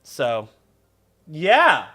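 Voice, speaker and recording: Male game streamer, voice a bit high, rambling and joking through casual commentary. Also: wildly swooping pitch, very slow, pushed and bright, faint music behind. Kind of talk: casual comedic gameplay commentary.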